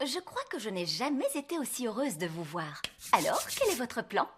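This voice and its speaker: An actress voicing a brisk, unflappable fairy nanny in a French cartoon dub, self-assured in manner. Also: female voice, fairly high pitch, wildly swooping pitch, a little hiss or hum. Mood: hopeful.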